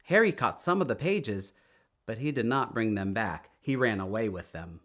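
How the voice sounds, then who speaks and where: Animated male children's storybook reader. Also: fairly low pitch. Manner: expressive, emphatic storytelling with drawn-out words. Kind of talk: read-aloud children's story narration.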